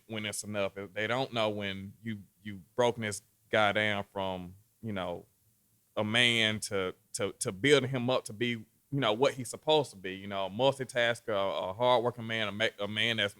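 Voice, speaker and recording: A man in casual conversation, telling an unhurried story and often saying "you know". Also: lowish voice, a bit bright, clear, resonant tone. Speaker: guest. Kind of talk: casual chat.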